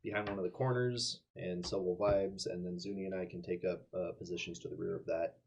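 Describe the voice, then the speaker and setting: Low-voiced man over clear background noise. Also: fairly even intonation, brisk, lo-fi sound. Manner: tactical, wry, profane. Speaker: tabletop game player. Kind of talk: casual in-character game talk.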